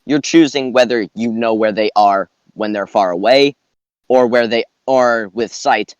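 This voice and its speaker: A man's voice: mid-range voice, measured pace, clear, resonant tone, dry recording, highs cut off. Man in casual podcast chat, dry sound. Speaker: podcast host. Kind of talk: casual group chat, posing would-you-rather questions.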